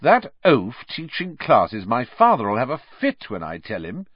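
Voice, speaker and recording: A man's voice: fairly high pitch, big pitch swings, unhurried, no echo, voice pushed up. A man voicing a cold, snobbish schoolboy with a drawling, sarcastic, sneering delivery. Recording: dull dry lo-fi recording.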